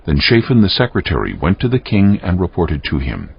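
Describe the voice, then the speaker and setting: A very deep, gravelly male narrator reads scripture with solemn deliberation. His intonation moves widely, on a slightly muffled recording. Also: huge pitch swings, brisk.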